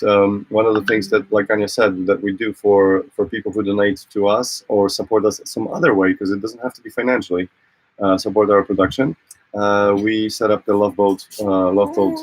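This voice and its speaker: A man whose first language is Polish, co-hosting a casual livestream from a boat, talking in halting phrases full of fillers and restarts. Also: on the low side, dry recording, low-quality recording. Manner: hesitant, many fillers and restarts. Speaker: co-host of a sailing channel livestream.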